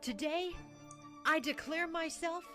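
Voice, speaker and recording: Woman as haughty, high-voiced young unicorn. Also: slow, bright, forceful tone, faint music behind, full character voice. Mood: boastful. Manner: boastful, haughty. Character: vain, ambitious young female unicorn student, entitled and jealous. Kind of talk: animated fan audio drama.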